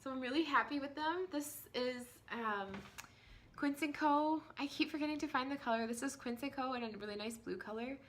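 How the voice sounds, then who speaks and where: A woman's voice: high-pitched, fairly quick. Woman in her 30s with a cold, voice scratchy, chatting at home about knitting, lots of ums. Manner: chatty, apologetic, many ums and small laughs. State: hoarse from a cold. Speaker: knitting podcast host. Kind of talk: casual chat to camera. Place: at home, indoors.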